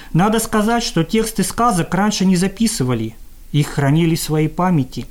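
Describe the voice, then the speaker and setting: A woman's voice: sits low, darkish tone. A woman narrating a scripted introduction as a podcast host, with solemn, literary phrasing.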